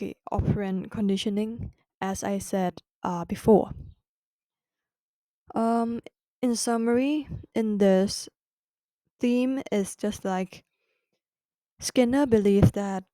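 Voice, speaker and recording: A woman with a Thai accent explains a psychology lesson slowly and carefully, pausing often as she finds her words in her second language. Her tone is soft and mellow. The recording is dry and close. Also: mid-range voice, no echo. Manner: careful, halting second-language delivery with restarts. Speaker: podcast host. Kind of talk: educational podcast explanation.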